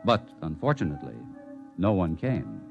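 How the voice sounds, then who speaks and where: Low-voiced solemn radio narrator, man. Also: big pitch swings, moderate pace, vocal fry, phone-quality sound, exaggerated voice. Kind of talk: scripted 1950s radio drama narration. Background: prominent music.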